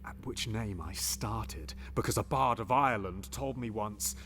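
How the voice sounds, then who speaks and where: A man's voice: on the low side, a little slow, a bit bright, soft background music. An actor playing a scheming, paranoid usurping king in a Shakespearean audio drama, swinging between menacing whispers and sudden outbursts.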